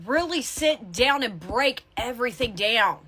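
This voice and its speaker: Woman, spiritual coach type, higher-pitched and sharp, forceful tough-love pep talk straight to viewers. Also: lively intonation, measured pace, slightly rough. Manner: blunt, emphatic tough-love delivery, swears casually. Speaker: tarot reader and spiritual coach.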